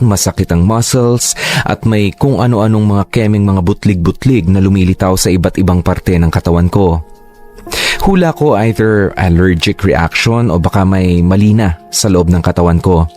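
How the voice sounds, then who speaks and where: A low, mellow male voice narrates a radio drama as a man plays a young man recalling his illness. He speaks earnestly and confessionally, unhurried and heartfelt. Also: natural voice.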